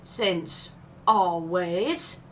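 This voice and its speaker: A woman playing a prim, proud mature businesswoman in an audio comedy, genteel, a little hesitant and quick to scold. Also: lowish voice, lively intonation. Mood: defensive. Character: prim, proud mature businesswoman, easily embarrassed by her friend. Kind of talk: family comedy audio play acting.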